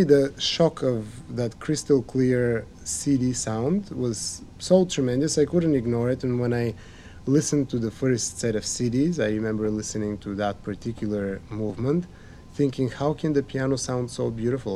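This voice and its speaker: A pianist, from Bulgaria, telling a story in a thoughtful, conversational interview. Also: male voice, medium pitch, normal speed, dark tone, slight background noise. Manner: reflective, storytelling. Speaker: pianist guest.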